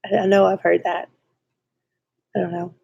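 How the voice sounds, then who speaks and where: Stuffy-nosed woman, creaky and dry. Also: lowish voice, little pitch movement, moderate pace, darkish tone, no room sound, lo-fi sound. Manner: chatty, giggly, self-deprecating, reads comments aloud. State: stuffy nose. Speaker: co-host of a live stream, reading viewer comments. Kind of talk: casual live-stream chat.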